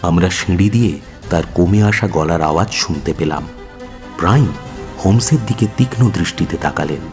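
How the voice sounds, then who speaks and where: A man's voice: deep voice, moderate pace, a little mellow, soft background music. A man playing the detective's watchful companion, narrating a radio drama in a composed storytelling style. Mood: observant.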